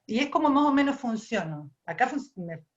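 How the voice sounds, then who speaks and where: A woman's voice: on the high side, sing-song, normal speed, dull audio. A woman from Argentina with an Argentine accent, a ceramic artist thinking aloud as she tells the stories behind her work, often repeating and restarting phrases. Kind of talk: reflective personal storytelling.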